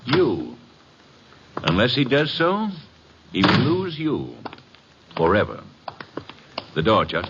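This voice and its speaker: An actor playing a courteous but coldly menacing crime boss in an old radio detective drama, speaking formally and with measured threat. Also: male voice, lowish voice, wildly swooping pitch, full character voice. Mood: polite menace. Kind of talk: 1940s-50s radio detective acting. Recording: muffled, noisy old radio recording.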